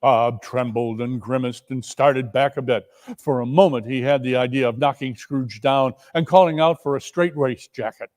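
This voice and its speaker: A man's voice: mid-range voice, moderate pace, few pauses, a little mellow, in a large hall. A man reading a classic story aloud as dramatic narration, with theatrical, emphatic phrasing. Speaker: story reader and performer.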